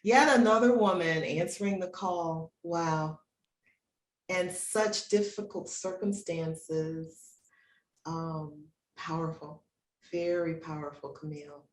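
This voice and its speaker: Animated woman in her fifties, echoey room. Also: on the low side, recorded from a distance. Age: 50s. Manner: affirming, often repeats phrases for emphasis. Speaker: host interviewing book co-authors. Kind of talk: conversational interview. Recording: roomy echo with background noise.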